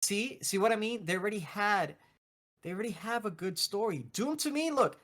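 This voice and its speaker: An excitable man with a voice a little on the high side, talking casually as a podcast co-host. He is emphatic and slangy, chatting about games and films. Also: moderate pace. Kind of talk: casual video game and movie chat.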